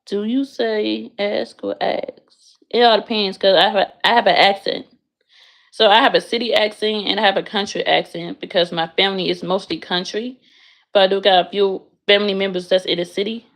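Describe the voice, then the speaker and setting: Young woman streamer, casual and blunt, with a gravelly edge. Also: mid-range voice, steady tone, normal speed. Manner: blunt, frank, swears freely. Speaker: livestreamer answering chat. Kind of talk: casual livestream chat. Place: her bedroom, in bed.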